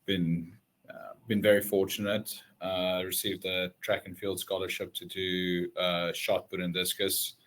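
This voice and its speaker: A man's voice: deep voice, a little slow, a little hiss or hum. A man in his twenties whose first language is Afrikaans, from South Africa, talking casually in a webinar interview with many fillers. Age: 20s. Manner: relaxed, many fillers and restarts. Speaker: guest, former college athlete.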